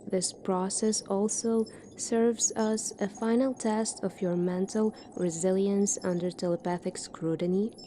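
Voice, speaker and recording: A woman in a soft-spoken ASMR roleplay, playing a calm, formal military doctor in a measured, clinical manner. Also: mid-range voice, a little hiss or hum.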